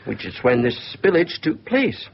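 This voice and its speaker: A nervy formal scottish insurance guy, radio play, lively pitch, slightly dull noisy sound. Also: a little slow, gravelly, heavily acted. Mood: polite, flustered. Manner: hesitant, polite, formal. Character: polite, flustered male insurance assessor from Scotland. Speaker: insurance claims assessor. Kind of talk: naturalistic radio drama acting. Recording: muffled, noisy off-air radio recording.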